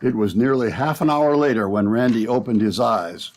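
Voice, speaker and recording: A man's voice: medium pitch, moderate pace, darkish tone. A man announcing and narrating in a dramatic, theatrical old-time radio style.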